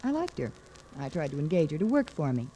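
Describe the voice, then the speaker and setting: Low, mellow-voiced flirtatious socialite. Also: female voice, very animated, fast. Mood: curious, earnest. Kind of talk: 1940s radio drama acting. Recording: noisy vintage 1940s radio recording.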